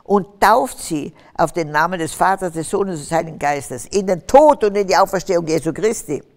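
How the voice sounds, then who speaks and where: Older woman, fervent preacher type, gravelly tone, hall reverb. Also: fairly low pitch, a little fast. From Austria. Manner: fervent, preaching, rising to emphatic bursts. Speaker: guest. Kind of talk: testimony interview on stage. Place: stage with curtains, large hall.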